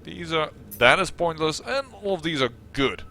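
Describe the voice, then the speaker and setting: Chatty Dutch man with a bright voice. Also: fairly high pitch, sing-song, moderate pace, slight background noise. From Netherlands. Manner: chatty, rambling, self-mocking asides. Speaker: gaming channel host. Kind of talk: let's play commentary.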